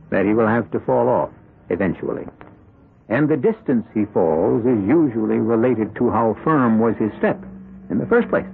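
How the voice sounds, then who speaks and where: A man hosting a 1970s radio drama, narrating with an ominous, measured, theatrical manner and a gravelly voice. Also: lowish voice, slight background noise. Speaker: host and narrator. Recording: muffled old radio broadcast with music.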